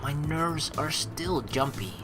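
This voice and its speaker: A man reading a game aloud as a let's play host, with excitable commentary and dramatic narration. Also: on the high side, slow pace, over music.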